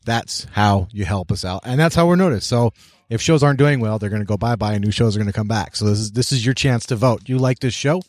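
A man's voice: fairly low pitch, fast, a little mellow, dry recording, studio quality. A man co-hosting a podcast, addressing listeners informally and directly in short, punchy phrases. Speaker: podcast co-host.

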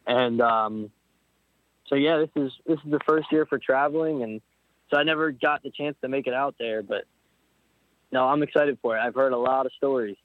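A man's voice: mid-range voice, normal speed. A nineteen-year-old man from Pennsylvania, a racer, chatting casually in an interview and rambling with frequent restarts. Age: young adult. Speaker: guest, motorcycle racer. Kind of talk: casual interview over the phone. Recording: dry, tinny phone line.

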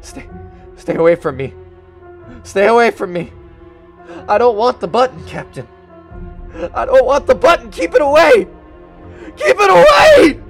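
A man's voice: very high-pitched, big pitch swings, a little slow, long pauses, soft background music, exaggerated voice. An actor playing a greedy, paranoid man coming apart in an audio drama, agitated and theatrical. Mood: terrified raving. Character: greedy, paranoid man unravelling into murderous madness. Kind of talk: audio drama acting with first-person narration.

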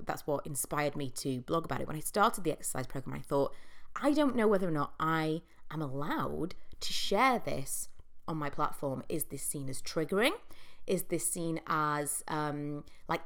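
A young woman talks through her thoughts on her own podcast in a low-ish voice. She sounds congested from a cold. Her delivery is chatty and reflective, full of ums and likes. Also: a bit bright. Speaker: podcast host. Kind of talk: casual solo podcast monologue.

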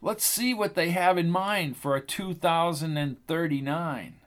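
A man voicing a poised woman tour guide who speaks in a smooth, polished way. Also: a little high, a little slow, a bit bright, pitched above the performer's own voice.

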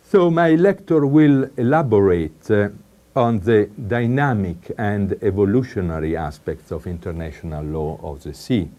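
A middle-aged man with an Italian accent, a law professor giving a recorded academic lecture in a measured way with frequent hesitations. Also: on the low side, mellow, highs cut off. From Italy.

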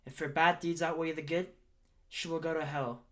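An actor playing a grave cleric delivering a funeral eulogy in a radio play, solemn and oratorical. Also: male voice, fairly high pitch, steady tone, normal speed, natural voice. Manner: oratorical, emphatic, rhythmic repetition.

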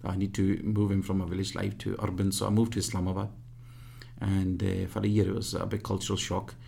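A man in his fifties from Pakistan, reminiscing in an interview in a halting way full of fillers. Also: low voice, completely flat delivery, creaky, mellow, slightly rough. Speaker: guest.

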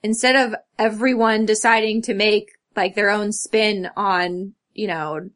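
A woman co-hosting a casual podcast chat, talking earnestly and haltingly, with "like" scattered all through. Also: mid-range voice, fairly even intonation, fairly bright tone. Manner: earnest, halting, filler-heavy with frequent "like". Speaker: podcast co-host. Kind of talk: casual chat about fandom and stories.

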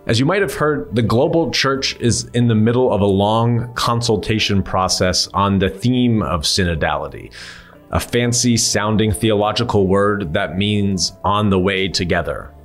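A man hosting a faith podcast, reading a calm, earnest scripted introduction and then interviewing a guest. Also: on the low side, moderate pace, soft background music. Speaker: podcast host.

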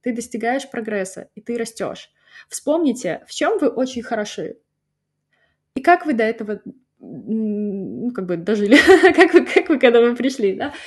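A woman's voice: on the high side, very animated, moderate pace. A woman hosting a solo podcast on language teaching, talking casually with many "right?" tags and little laughs. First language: not native in the language she teaches. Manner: chatty, frequent "да?" tags and fillers, laughs now and then. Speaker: podcast host and phonetics teacher.

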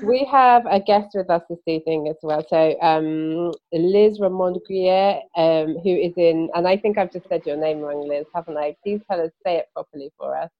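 Chatty low-voiced woman hosting a podcast. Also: normal speed, hardly any pauses, muffled recording. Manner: chatty, hesitant, with frequent ums and restarts. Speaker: podcast host. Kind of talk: casual conversational interviewing. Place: London, UK.

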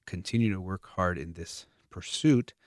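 A man's voice: low voice, moderate pace, no echo, studio quality. A man hosting a radio advice show, speaking in an earnest, encouraging way and repeating phrases for emphasis. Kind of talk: motivational radio talk. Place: radio studio.

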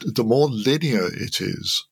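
A man's voice: medium pitch, lively intonation, takes it slowly, creaky, husky, dry recording, studio quality. An older man giving conversational technical explanations as an interview guest, with hesitant, thoughtful phrasing. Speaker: interview guest, loudspeaker designer.

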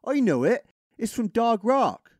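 An actor playing an eager, odd young son of a gangster in a dark comedy crime drama, earnest and naive. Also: male voice, extremely high pitch, sing-song, a little slow, no echo, heavily acted. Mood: earnest, eerie.

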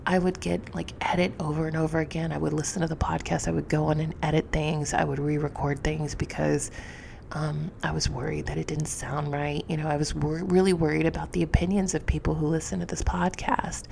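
Low, creaky-voiced woman podcasting, slightly sniffly. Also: little pitch movement, a little fast, a little mellow, a little hiss or hum. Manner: confessional, candid, informal with some swearing. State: sniffly from allergies. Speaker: podcast host. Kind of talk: reflective solo podcast talk to listeners. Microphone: close podcast microphone.

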